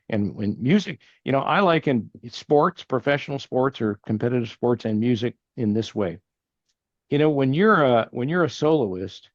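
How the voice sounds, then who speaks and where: A man's voice: mid-range voice, darkish tone, no echo. Older Texan man, earnest interview guest, rambles and restarts; dull, dry line. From Texas. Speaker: podcast guest, family banking partner. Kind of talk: casual interview conversation.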